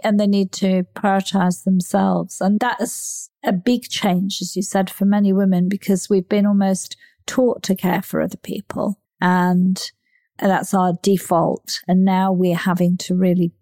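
A woman's voice: mid-range voice, steady tone, unhurried, warm tone. A woman hosting a podcast, agreeable and drawing out her guest with questions. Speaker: podcast host. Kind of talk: podcast conversation.

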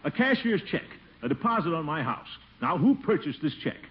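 Casting: pompous, flustered middle-aged homeowner, highish voice leaping about, old radio comedy, dull noisy vintage recording. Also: male voice, very animated, a little fast. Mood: indignant. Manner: exclamatory, blustering, theatrical. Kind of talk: 1950s radio comedy acting.

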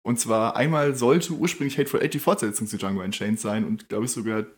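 A man co-hosting a German film podcast, eagerly sharing trivia in casual chat. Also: medium pitch. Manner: brisk, shares trivia eagerly. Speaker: podcast co-host.

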